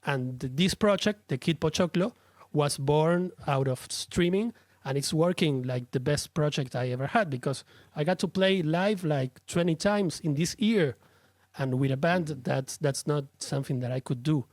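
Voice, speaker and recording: Tired Argentinian man, hesitant and halting. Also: a little high, darkish tone, no room sound. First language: Spanish. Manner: hesitant, searching for words, many restarts. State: tired from little sleep. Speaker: guest, musician and streamer. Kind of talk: casual interview chat.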